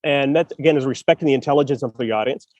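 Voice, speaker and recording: A man's voice: medium pitch, rapid-fire, darkish tone, dry recording, dull audio. A man co-hosting a casual podcast about writing, talking over a video call from a city park in a jokey, rambling way and laughing often. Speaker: co-host, writer. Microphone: video call over spotty public Wi-Fi.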